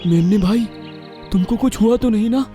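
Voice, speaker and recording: A man's voice: sits high, moderate pace, dark tone, faint music behind, voice pushed up, close to an everyday voice. A man voicing a small, upset, complaining animal in a cartoon story, whiny and fretful. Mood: worried. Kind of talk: Hindi cartoon story voice acting.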